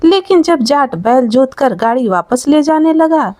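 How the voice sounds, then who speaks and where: A woman narrating a scripted moral folk tale as a storyteller in an expressive, dramatic manner. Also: high voice, a little slow, dry recording, studio quality.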